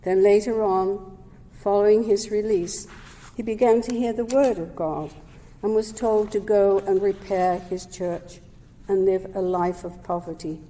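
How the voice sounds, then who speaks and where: A woman gives a measured, gentle Sunday talk in a warm, mellow voice. Her words trail into the long reverb of a hall around her. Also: mid-range voice, steady tone. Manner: measured and gentle, with occasional self-corrections. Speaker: speaker at a Sunday service. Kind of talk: prepared talk read aloud. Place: service hall.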